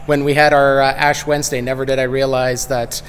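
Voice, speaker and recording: A man's voice: medium pitch, little pitch movement, fairly quick, slight background noise. A man on the school staff speaking sincerely and reflectively about missing students. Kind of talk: casual interview answer. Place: empty school hallway. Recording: hallway reverb.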